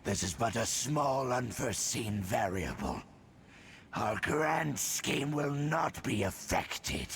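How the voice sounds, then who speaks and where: An actor playing a menacing, haughty herald of a dark order in a video game, speaking with pompous, theatrical deliberation. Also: male voice, medium pitch, big pitch swings, slow pace, gravelly, noisy background, heavily acted. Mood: coolly dismissive. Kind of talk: video game villain acting.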